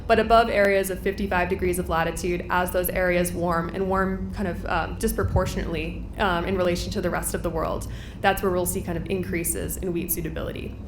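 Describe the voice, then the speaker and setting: A woman wrapping up a conference presentation, explaining a graph in a relaxed, casual way. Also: on the low side, brisk, reverberant, noisy background.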